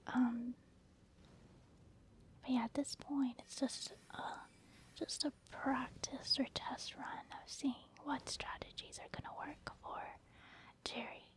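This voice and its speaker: Whispering woman, soft ASMR game commentary. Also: sits high, flat delivery, takes it slowly. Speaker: gaming ASMR video host.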